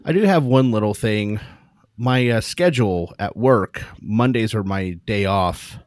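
A man co-hosting a casual podcast, with a lowish voice and dry, close sound. He chats easily with a mock-indignant, joking manner. His delivery stays conversational throughout. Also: a little slow, dry recording. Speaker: podcast co-host. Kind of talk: casual chat.

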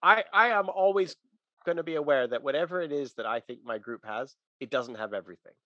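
A man's voice: on the high side, animated, fairly quick, no echo, dull audio. A man talking through religious ideas in a casual, conversational but emphatic teaching manner.

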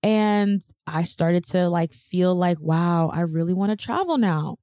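A woman's voice: lowish voice, animated, a little slow, dark tone, dry recording. A young woman hosting a podcast, telling her own story in a candid, chatty, self-deprecating way on a close mic. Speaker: podcast host. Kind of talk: personal storytelling monologue.

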